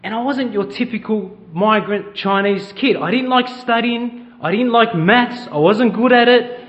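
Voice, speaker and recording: A man's voice: high voice, a little slow, a bit bright, muffled recording. A middle-aged man with an Australian accent, preaching a conversational sermon in church and asking the congregation questions. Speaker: pastor.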